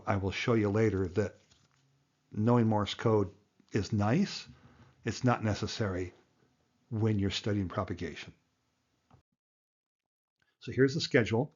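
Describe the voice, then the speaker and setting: A man's voice: mid-range voice, darkish tone, lo-fi sound. A man giving a plain-spoken, emphatic tutorial as an instructor, explaining things step by step. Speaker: amateur radio instructor and presenter.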